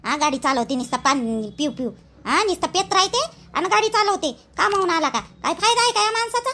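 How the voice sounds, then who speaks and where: A woman's voice: very high-pitched, animated, fairly quick, bright, forceful tone, exaggerated voice. A woman voicing a bitter village wife in a cartoon, grumbling sardonically with a Vidarbha Marathi accent. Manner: muttering, sardonic complaining. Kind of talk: cartoon voice acting.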